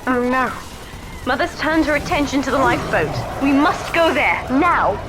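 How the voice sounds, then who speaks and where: A woman's voice: high voice, lively intonation, normal speed, over loud music, poor audio. A woman playing a devoted, determined young daughter guiding her father in a video game, earnest and articulate. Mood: determined urgency. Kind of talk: dramatic video game voice acting.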